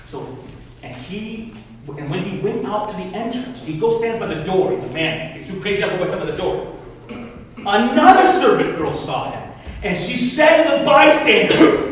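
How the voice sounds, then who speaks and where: A man in his forties from Michigan, a pastor telling a sermon story in a lively way and acting out the voices of the people in it. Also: high-pitched. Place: church hall. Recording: echoey hall, voice from far off, faint noise.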